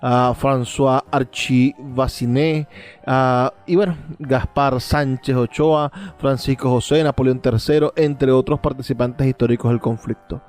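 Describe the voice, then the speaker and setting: A man presenting a literary programme, giving commentary in a halting way as he searches for words. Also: mid-range voice, steady tone, a little mellow, soft background music. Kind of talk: spoken literary commentary and introduction.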